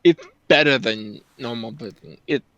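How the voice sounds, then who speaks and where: A man's voice: medium pitch, unhurried, a bit bright, no echo. A man commenting casually on a game story, explaining it in conversation. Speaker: reaction video commentator. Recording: slightly dull, close dry sound.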